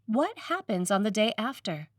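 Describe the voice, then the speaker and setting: A woman's voice: medium pitch, very animated, moderate pace, no room sound, far from the mic. Woman reading a sermon, dry sound. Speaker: priest reading a written sermon.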